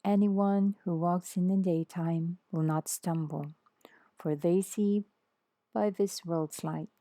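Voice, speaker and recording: A woman reader voicing a calm, authoritative male teacher in a scripture story, solemn, gentle and assured. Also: on the low side, slow, dry recording.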